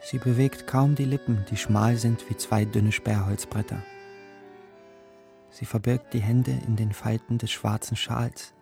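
A gloomy radio drama narrator who looks back on a poor youth. His voice is low and mellow with some creak, somber and measured, over music. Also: fairly even intonation.

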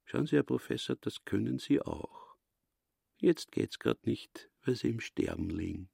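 An actor playing a brutish, blustering older Viennese caretaker with a gravelly voice in a radio drama. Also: male voice, mid-range voice, expressive, measured pace, warm tone, exaggerated voice. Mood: gruffly menacing. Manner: coarse, blustering, dialect-tinged. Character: brutish, loud-mouthed, menacing older caretaker and father.

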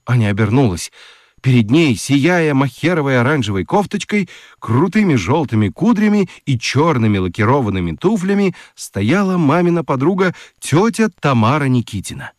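A man tells a children's story as narrator. His medium-pitched voice moves with lively, varied intonation, in a dry, close recording. The delivery is theatrical and expressive. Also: normal speed, no room sound.

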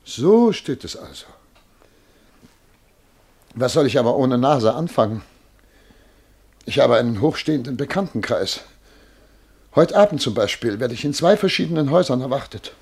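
Radio play role: proud Russian major in distress, rough creaky voice, plaintive and indignant. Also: male voice, medium pitch, lively intonation, moderate pace, long pauses, a little mellow. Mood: plaintive, pompous. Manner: plaintive, self-important. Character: distressed, self-important noseless Russian major, pleading and indignant. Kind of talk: German radio drama acting.

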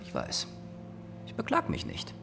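An actor playing a meek, resigned, traumatised war veteran in a radio play, halting and subdued. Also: male voice, natural voice. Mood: quiet resignation. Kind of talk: radio play acting in German.

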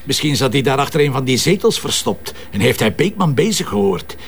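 An actor playing a blunt, sardonic police commissioner with a Flemish accent in a naturalistic radio crime drama, teasing and probing with feigned casualness. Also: male voice, mid-range voice, big pitch swings, a little fast, vocal fry. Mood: sardonic, insistent. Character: wry, blunt, nosy male police commissioner.

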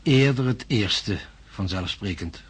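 Impatient science professor, very raspy voice, formal, pedantic, emphatic, radio drama. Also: male voice, lowish voice, lively intonation, takes it slowly, heavily acted. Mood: condescending. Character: impatient, condescending male professor of science, self-assured. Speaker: actor.

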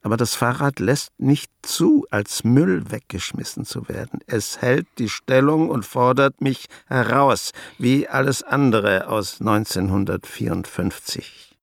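Creaky-voiced reclusive old grandfather. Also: medium pitch, measured pace, hardly any pauses, dry recording, studio quality. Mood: wry, reflective. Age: 60s or older. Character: reclusive old grandfather, awkward, brooding, haunted by memories. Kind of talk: German radio drama acting, dialogue and inner monologue.

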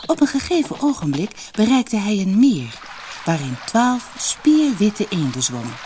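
A woman narrating a fairy tale audiobook in an expressive, measured, dramatic storybook style. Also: mid-range voice, a little hiss or hum.

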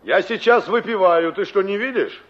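An actor playing a cocky young carpenter in a Soviet radio play, brusque, teasing and colloquial. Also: male voice, sits high, normal speed, a bit bright. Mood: irritated. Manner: mocking, colloquial, gruffly flippant. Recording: dull 1960s radio play recording.

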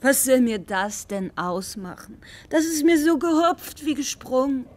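A woman's voice: high voice, expressive, measured pace. An actress playing an evasive, defiant young female lover in a literary radio play, speaking in a casual, dismissive manner. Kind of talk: literary radio drama acting.

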